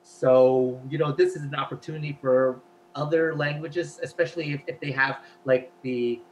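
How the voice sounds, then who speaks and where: A man's voice: medium pitch, steady tone, moderate pace, slight background noise, poor audio. A man whose first language is Cantonese, chatting as an interview guest and explaining at length with many fillers. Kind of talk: casual interview talk.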